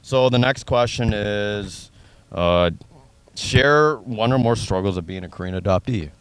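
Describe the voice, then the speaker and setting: A man's voice: lowish voice, measured pace, smooth, no room sound. An American man interviewing in a casual, loosely phrased, conversational way. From Minnesota, United States. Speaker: interviewer sharing his own experience.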